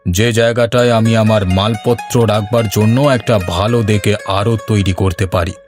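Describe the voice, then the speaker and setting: A man plays a rich, grasping cartoon village boss. His lowish voice is commanding and calculating, with faint music behind it. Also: steady tone, moderate pace, lightly acted. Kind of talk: cartoon voice acting.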